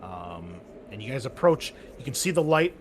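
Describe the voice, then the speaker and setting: A laid-back man running a tabletop game over an online call, describing the scene with plenty of ums and restarts. His voice sits at a middle pitch, with a faint hiss behind it. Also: moderate pace. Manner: relaxed, explaining with many fillers and restarts. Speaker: game master.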